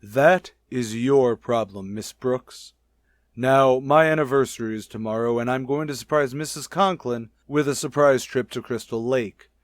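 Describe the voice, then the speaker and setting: An actor playing a stuffy, bossy middle-aged high school principal in a vintage radio comedy, with formal, blustering delivery. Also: male voice, unhurried, dry recording, clean studio sound, lightly acted. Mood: pompous, stern.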